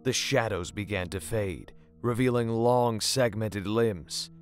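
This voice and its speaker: A man narrating a dark horror story in a dramatic, scripted storytelling style. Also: lowish voice, takes it slowly. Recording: music bed under the narration.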